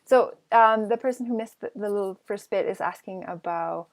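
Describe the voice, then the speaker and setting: A woman from Peru, an artist answering questions in an online talk, with many pauses, ums and restarts. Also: medium pitch. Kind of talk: casual Q&A answers.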